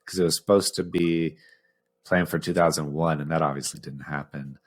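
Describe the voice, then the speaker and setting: A man's voice: rumbling low, fairly even intonation, moderate pace. A man co-hosting a podcast, discussing films casually in an informal, explanatory way. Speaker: podcast co-host.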